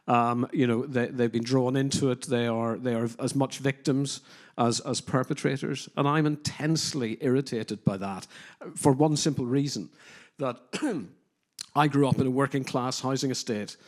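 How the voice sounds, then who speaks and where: A Northern Irish man argues his case on a conference panel. His voice sits at a middling pitch, and he talks in a forceful, opinionated way, with plenty of "you know" and restarts as he builds his points. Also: fairly even intonation, normal speed. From Northern Ireland. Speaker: panelist, criminologist.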